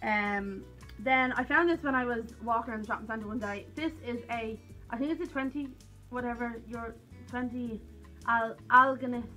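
Bright-voiced young Irish woman chatting. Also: on the high side, moderate pace, faint music behind. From Ireland. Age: young adult. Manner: self-deprecating, rambling asides. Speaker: vlogger. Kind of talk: casual chat to camera. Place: small cluttered room at home, indoors.

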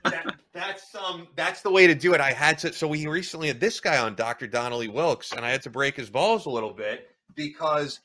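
Bright, crisp-voiced podcast host. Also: male voice, on the high side, fairly quick, few pauses, dry recording. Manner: promotional, plugging links and dates. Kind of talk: interview hosting.

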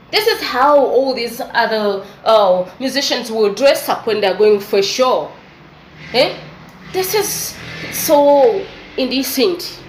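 A young adult woman with an East African English accent, giving an exasperated, preachy opinion commentary. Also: medium pitch. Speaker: vlogger. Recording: echoey room with faint noise.

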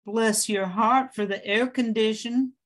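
A woman's voice: fairly high pitch, steady tone, unhurried, close to an everyday voice. An older woman playing a polite, homespun widowed homemaker in a 1940s-style radio drama. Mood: polite, chatty. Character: genteel 55-year-old widowed New Orleans homemaker, plain-spoken. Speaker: amateur radio-play performer. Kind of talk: 1940s radio drama acting, read from script.

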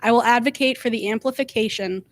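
Young woman running for council, reading out her speech, voice a bit high. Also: quick pace. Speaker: city council candidate. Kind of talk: prepared campaign speech.

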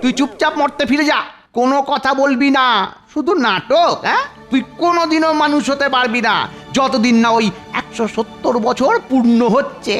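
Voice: sits high, moderate pace, fairly bright tone. An actor voicing a pompous, greedy male celestial bookkeeper in a cartoon, with a fussy, theatrical delivery. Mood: scornful scolding. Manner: scolding to underlings, fawning to his lord. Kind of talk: cartoon voice acting. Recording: music behind the voice.

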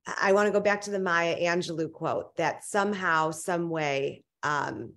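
Young woman guest, deep for a woman, earnest, halting restarts, webcam from home. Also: a bit bright. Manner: earnest, searching for words, many restarts. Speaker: guest author. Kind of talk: casual interview answers. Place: home living room indoors. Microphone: video call webcam.

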